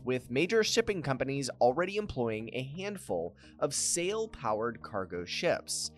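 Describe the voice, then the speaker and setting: Narrator, scripted explainer read, animated pitch, mid voice, faint music bed. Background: faint background music.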